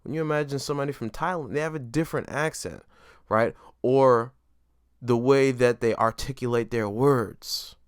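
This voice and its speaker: A man's voice: medium pitch, normal speed, clean tone, dry recording, clean studio sound. A man hosting a solo podcast, talking casually and keeps checking in with "right?" and "you know what I mean?". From New York. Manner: emphatic, stresses key words. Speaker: podcast host. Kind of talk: solo motivational podcast talk.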